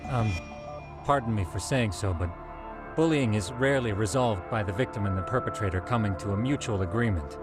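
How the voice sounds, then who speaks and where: A man's voice: fairly low pitch, a little fast, over music, natural voice. An actor playing a polite, bookish male sidekick in an English video game dub, hesitant, wordy and formal. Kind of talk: English-dubbed video game cutscene acting.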